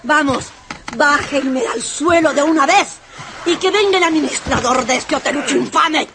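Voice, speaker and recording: A woman's voice: high voice, normal speed, background noise. An actress playing a scornful, imperious old grandmother in a radio drama, bossy, mocking and theatrical. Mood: haughty, demanding. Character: imperious, scornful old Russian grandmother, rich and sharp-tongued.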